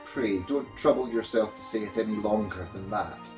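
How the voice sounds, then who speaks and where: A man's voice: fairly low pitch, moderate pace, music in the background, lightly acted. A man voicing a polite, hesitant young girl in a storybook reading. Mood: polite, puzzled. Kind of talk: storybook character voice.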